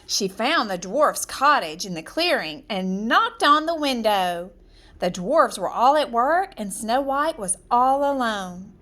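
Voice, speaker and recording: Slow, high-voiced woman reading a fairy tale. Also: sing-song, a bit bright. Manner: measured, dramatic, deliberate phrasing. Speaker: storyteller reading aloud. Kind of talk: expressive children's fairy-tale narration.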